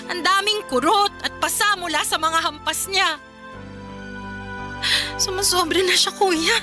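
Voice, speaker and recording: A woman playing a worn-out, bitter and defiant daughter in an emotional radio drama, pleading with emphatic repetition. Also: very high voice. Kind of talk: Filipino radio drama acting.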